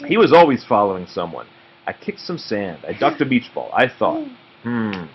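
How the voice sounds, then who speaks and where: A man narrating a children's story aloud expressively and deliberately, with dramatic pauses. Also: medium pitch, wildly swooping pitch, husky. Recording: muffled audio with faint background noise.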